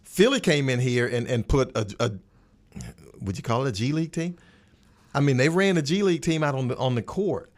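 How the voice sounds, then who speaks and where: A man's voice: medium pitch, animated, brisk. A man in a casual sports roundtable, conversational and repeating phrases for emphasis. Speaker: sports radio commentator.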